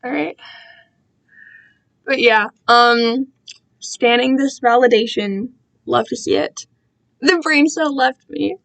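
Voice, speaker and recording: A woman's voice: sits high, takes it slowly, creaky, long pauses, fairly bright tone. A young woman chatting casually on a livestream in a rambling, self-deprecating way full of giggly internet slang. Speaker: amateur writer reading own fan fiction.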